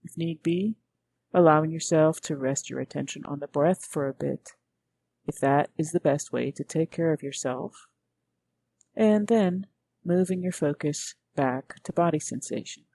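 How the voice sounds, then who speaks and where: Gently spoken, husky woman guiding meditation. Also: sits low. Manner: gentle, unhurried, measured phrasing with pauses. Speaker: meditation teacher. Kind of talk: guided meditation narration.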